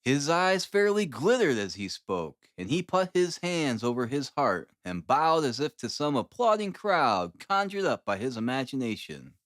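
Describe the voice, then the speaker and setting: A man reading a classic novel as an audiobook narrator, in expressive scripted narration. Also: medium pitch, normal speed, hardly any pauses, dry recording, studio quality.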